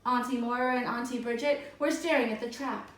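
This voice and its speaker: A woman reading a storybook aloud to schoolchildren in an expressive narrating style. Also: fairly high pitch, a little fast. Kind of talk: expressive children's read-aloud. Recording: echoey room, distant microphone.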